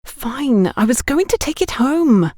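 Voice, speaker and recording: A woman's voice: sits high, sing-song, a little slow, mellow, no echo, clean studio sound, pitched above the performer's own voice, heavily acted. A woman voicing a frightened young shop girl who pleads nervously and urgently in an audiobook story. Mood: confessing, pleading.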